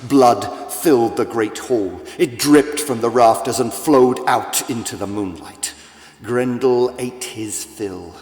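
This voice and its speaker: Slow, echoing male storyteller. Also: mid-range voice. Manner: theatrical, deliberate, with big swells and hushes. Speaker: audiobook narrator. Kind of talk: dramatic scripted storytelling for children. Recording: echoey, long reverb, music behind.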